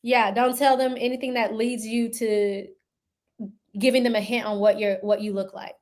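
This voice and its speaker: A woman co-hosting a casual podcast chat, agreeable and quick to laugh. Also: a little high, flat delivery. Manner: warm, agreeable, laughs easily. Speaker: podcast co-host.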